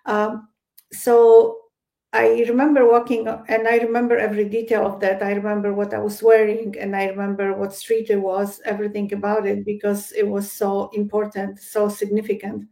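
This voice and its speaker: A woman's voice: mid-range voice, completely flat delivery, moderate pace, vocal fry, dark tone, poor audio. A woman with a Polish accent, a guest psychic medium, speaking in a hesitant interview style full of fillers and restarts. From Poland. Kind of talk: casual interview chat.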